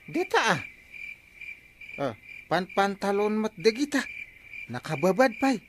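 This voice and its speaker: An excitable village captain. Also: male voice, high-pitched, measured pace, slight background noise, heavily acted. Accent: Ilocano. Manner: animated, exclaiming, bossy. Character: excitable middle-aged male village captain. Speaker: barangay captain. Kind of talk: comic radio drama acting.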